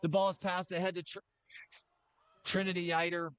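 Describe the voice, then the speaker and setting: A middle-aged man doing casual play-by-play for a high school basketball game, chatty and joking, with filler pauses. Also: little pitch movement, clear, resonant tone, no room sound. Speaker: play-by-play commentator.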